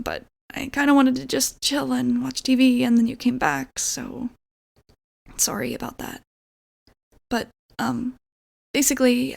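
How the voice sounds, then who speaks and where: A woman playing a shy, apologetic vampire roommate in an ASMR roleplay, speaking hesitantly and gently, often dropping toward a whisper. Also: on the high side, gravelly, full character voice. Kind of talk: ASMR roleplay, softly acted monologue.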